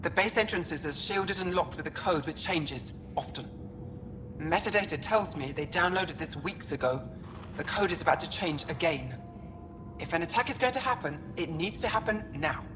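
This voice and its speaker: Woman acting as alien resistance code-breaker, lowish voice, dry, practical, video game, noisy ambience. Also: lively intonation, moderate pace, natural voice. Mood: focused, urgent.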